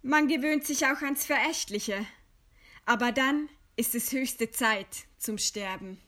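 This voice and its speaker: A condemned woman writer in a radio drama. Her voice is fairly high and she speaks very slowly, weighing each phrase. She stays composed and proud, with ironic scorn. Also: pushed and bright, exaggerated voice. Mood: subdued scorn. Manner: slow, deliberate, ironic. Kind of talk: German radio play acting.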